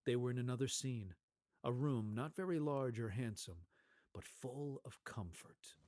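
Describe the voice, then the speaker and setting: Slow, expressive male storybook narrator. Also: medium pitch, no room sound, studio quality. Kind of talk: dramatic scripted storybook narration.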